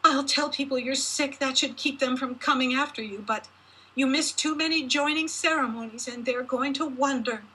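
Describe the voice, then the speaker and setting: A woman's voice: high-pitched, normal speed, bright, forceful tone, husky, voice pushed up, full character voice, poor audio. A woman voicing an enraged, status-obsessed giantess mother from a storybook, scolding and exclaiming. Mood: resentful complaint.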